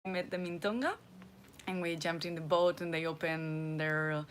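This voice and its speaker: A woman from the Country, introducing herself casually in halting, playful English as a new crew member. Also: deep voice, steady tone, a little hiss or hum. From Basque Country. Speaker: crew member, primary school teacher.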